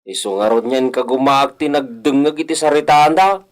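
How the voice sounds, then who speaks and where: A man playing an excitable, eager villager spreading gossip in a radio drama. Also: medium pitch, moderate pace, natural voice. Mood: excited.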